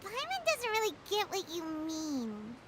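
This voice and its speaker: A woman's voice: very high voice, wildly swooping pitch, a little slow, very noisy, full character voice. A woman voicing a tiny, chatty, curious fairy companion who talks about herself in the third person, with game music underneath.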